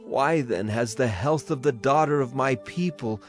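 A man's voice: mid-range voice, measured pace, natural voice. A man acting a solemn prophet who speaks for a grieving, wrathful God in a dramatized scripture reading, declamatory in delivery. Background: music behind the voice.

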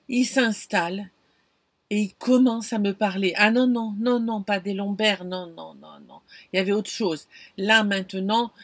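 A middle-aged woman vlogging from inside a camper van, telling an anecdote in an animated, expressive way and talking straight to the viewer. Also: mid-range voice, dry recording. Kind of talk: casual storytelling to camera. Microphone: camera close in front.

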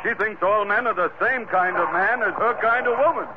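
Loyal, joking first mate male actor, American, slightly high voice, vintage radio drama, noisy recording. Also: a little fast, dull audio, natural voice. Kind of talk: 1940s radio acting.

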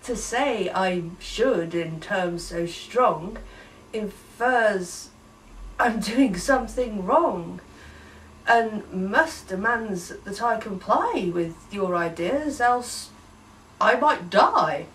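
A contented farmer explains himself in slow, measured rhymes, calm but firm, as a woman storyteller reads him. The voice stays close to her own, lively in tune, heard from a little distance with faint noise. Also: medium pitch. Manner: measured, gently reasoning.

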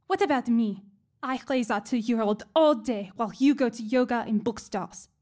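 A woman's voice: a little high, normal speed, a little mellow, lightly acted. An actress playing a lonely, earnest young wife and mother in an audio drama, speaking with feeling. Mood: hurt, frustrated.

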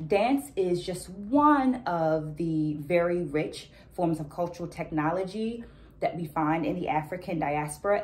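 A middle-aged woman talking to camera about a book in a deliberate, articulate, explanatory manner. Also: low voice, darkish tone. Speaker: educator and book reviewer. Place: small indoor room at home. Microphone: phone camera a short distance away.

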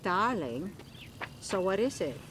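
A wealthy middle-aged hostess in a game cutscene. She gushes affectionately in a slow, languid, lilting voice over faint background noise. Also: medium pitch, sing-song. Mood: affectionate, weary. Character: wealthy party-worn hostess, gushing, affectionate wife. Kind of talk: video game cutscene acting.

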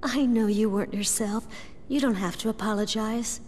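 A woman playing a gentle, sincere young heroine in a video game cutscene. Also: steady tone, normal speed, lightly acted. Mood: gently reproachful. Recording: reverberant hall, faint background rumble.

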